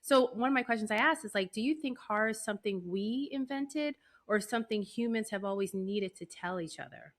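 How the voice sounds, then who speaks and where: A woman's voice: mid-range voice, lively intonation, brisk, few pauses. A woman with a mid-range voice hosts a casual interview. She is chatty and conversational, building her questions loosely as she talks. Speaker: podcast host, interviewer.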